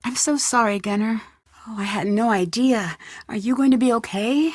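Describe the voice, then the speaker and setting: An actress playing a polite, persistent young female detective in a video game, asking questions in an earnest, measured way. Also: on the high side, dry recording, clean studio sound, close to an everyday voice. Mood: sympathetic. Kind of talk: video game character acting.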